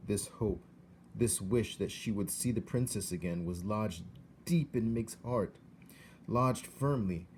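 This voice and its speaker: A man, a teacher, reading a children's novel aloud in a steady, expressive voice. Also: lowish voice, slow, slight background noise. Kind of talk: read-aloud storybook narration.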